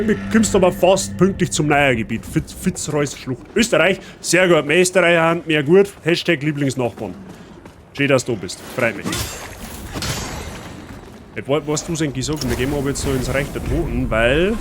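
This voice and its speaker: A man with a Bavarian accent chatting casually with his viewers while playing a game. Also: a little high. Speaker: streamer. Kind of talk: casual let's-play chat. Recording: noisy stream audio.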